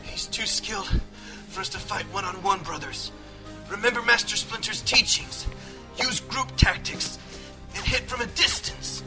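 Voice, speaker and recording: A man playing a heroic, honorable young turtle ninja leader with an earnest, declamatory, deliberate delivery in a fan-made voice comic. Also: high-pitched, over music. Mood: stern, resolute.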